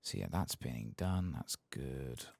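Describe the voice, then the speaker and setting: Very deep, breathy, gravelly soft-spoken man. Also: expressive, slow, dry recording. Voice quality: breathy, gravelly, often slipping into whisper. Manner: low-key, murmuring to himself while playing. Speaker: streamer playing a video game. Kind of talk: soft-spoken ASMR game commentary.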